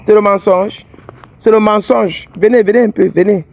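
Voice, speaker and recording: A man's voice: high voice, fairly even intonation, moderate pace, gravelly, darkish tone. A man with an English-speaking Cameroonian accent hosting a fidelity-test vlog, speaking in a blunt, scolding, teasing way. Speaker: fidelity tester vlogger host. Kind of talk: street vlog confrontation.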